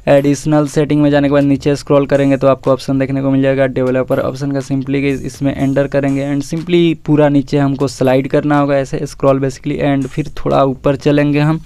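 A man's voice: mid-range voice, completely flat delivery, a little fast, hardly any pauses, mellow, smooth, no echo. A man giving a casual phone feature walkthrough, mixing English words into informal speech. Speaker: tech reviewer.

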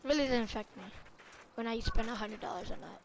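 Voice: mid-range voice, fast, over a noisy crowd. A young woman chatting casually in an improvised online game roleplay.

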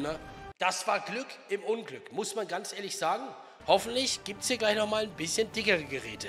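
A man in his twenties with a cold, giving chatty, slangy, excited commentary on a video game over faint music. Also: high-pitched. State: has a cold. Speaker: gaming YouTuber.

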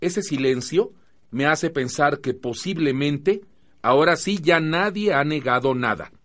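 Guy acting as earnest mexican group leader in a radio drama, firm and preachy, highish male voice. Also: a little slow, a bit bright. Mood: encouraging, patient.

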